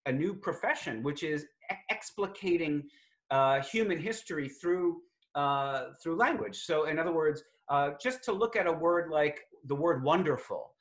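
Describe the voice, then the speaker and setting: A middle-aged man from Greater Boston with a Boston accent, explaining language on a video call from his home study, articulate and measured with frequent fillers. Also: on the high side, animated. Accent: Boston, non-rhotic. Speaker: lexicographer guest. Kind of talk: thoughtful conversational explaining.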